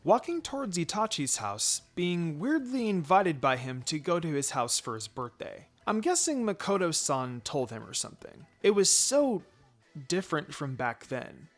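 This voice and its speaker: A man reading a first-person story aloud in an expressive, playful way. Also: on the high side, normal speed, soft background music. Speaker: fan fiction reader.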